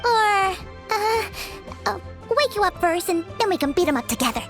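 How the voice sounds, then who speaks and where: A woman voicing an excitable, chatty little fairy companion in a game who refers to herself in the third person. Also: extremely high pitch, normal speed. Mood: worried. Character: tiny floating female fairy companion, chirpy. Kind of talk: game dialogue. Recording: game audio with music behind.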